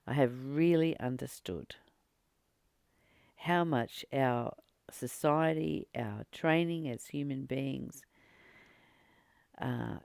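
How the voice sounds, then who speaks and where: This spiritual teacher speaks at a very unhurried pace, with plenty of fry in a mid-pitched voice. The delivery is earnest and reflective, breaking thoughts into short, deliberate phrases. The sound is close and dry. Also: a little mellow, no room sound. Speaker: spiritual teacher reading and commenting on a text. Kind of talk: reflective spiritual study, reading aloud with personal commentary.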